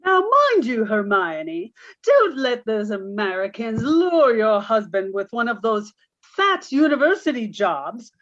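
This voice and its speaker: A woman playing a gently chiding society lady in a radio suspense drama, speaking with teasing emphasis. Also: on the high side, very animated, unhurried, dull audio, heavily acted. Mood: playfully insistent. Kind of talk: theatrical radio suspense acting.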